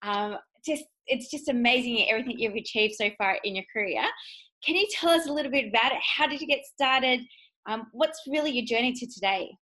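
A woman's voice: on the high side, expressive, a little fast, pushed and bright. A woman hosting a podcast, enthusiastic and welcoming. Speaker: podcast host.

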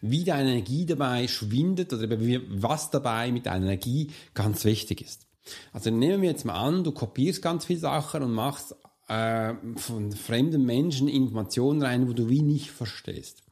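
A hoarse man, a coach and podcast host, talking earnestly and straight to the listener in a solo motivational monologue. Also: mid-range voice, lively intonation, normal speed, darkish tone.

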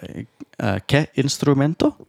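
A man co-hosting a casual language-learning chat, mixing with halting, self-correcting Spanish. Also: mid-range voice, lively intonation, vocal fry, a little mellow, no room sound, clean studio sound. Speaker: podcast co-host learning Spanish.

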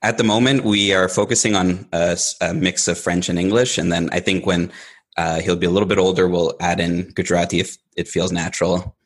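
A Canadian man in his thirties, a nutrition researcher guest, speaking in a measured way with short pauses. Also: low-pitched, level tone. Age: 30s. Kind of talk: casual interview conversation.

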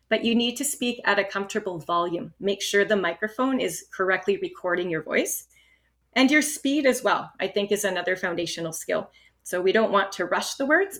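Steady, encouraging woman test-prep instructor. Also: medium pitch. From Canada. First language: English. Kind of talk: instructional podcast talk.

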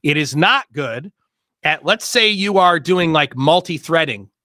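A man hosting a casual discussion, explaining in quick, emphatic bursts with many fillers. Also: fairly high pitch, expressive, pushed and bright, dry recording, clean studio sound. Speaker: host and workshop leader.

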